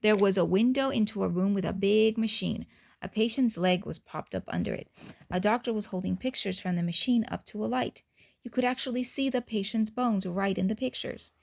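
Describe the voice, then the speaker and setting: A woman's voice: mid-range voice, brisk, creaky, a little mellow. A woman reading a picture book aloud to children, with chatty asides to them.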